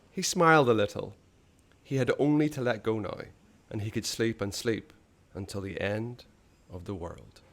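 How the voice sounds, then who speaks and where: A man reading a children's adventure story aloud in a dramatic, suspenseful way, with hushed phrase endings. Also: on the low side, creaky. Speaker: storybook reader.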